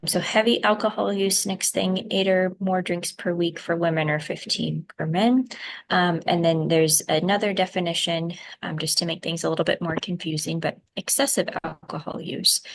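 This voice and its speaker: A soft-voiced young woman lectures on medicine over a video call, picked up by a webcam mic in a small room. Her voice sits low and carries a gravelly fry, and she talks hesitantly, filling gaps with ums and correcting herself. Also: fairly even intonation, moderate pace, a little hiss or hum. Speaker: physician presenter.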